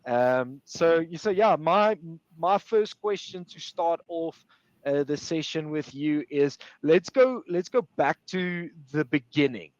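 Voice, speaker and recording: A man's voice: a little high, animated, slow, dry recording, highs cut off. A man whose first language is Afrikaans hosts a webinar interview, often repeating words as he goes. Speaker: webinar host.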